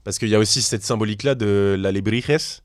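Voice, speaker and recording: A man's voice: lowish voice, a little fast, no room sound, studio quality. A man co-hosting a casual podcast among friends, joking around and then explaining things earnestly. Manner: joking, puts on silly accents, explains earnestly. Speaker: podcast co-host. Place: studio table with friends.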